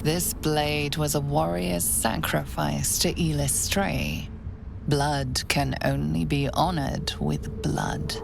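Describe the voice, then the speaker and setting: A woman playing the solemn, mysterious narrator of a fantasy video game, measured and portentous. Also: sits low, creaky, over music, full character voice. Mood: solemn, hushed. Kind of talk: scripted second-person game narration.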